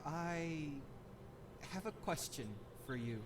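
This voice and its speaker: Earnest, higher-pitched village boy. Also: animated, slow pace. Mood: earnest, curious. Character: earnest, grateful village boy, young and persistent. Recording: noisy ambience behind the voice.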